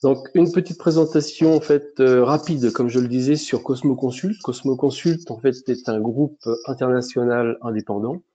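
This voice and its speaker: A man's voice: medium pitch, normal speed, hardly any pauses, mellow. A man hosting a business webinar, talking with many hesitations and restarts. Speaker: webinar host. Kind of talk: webinar presentation. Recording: dull lo-fi webinar audio.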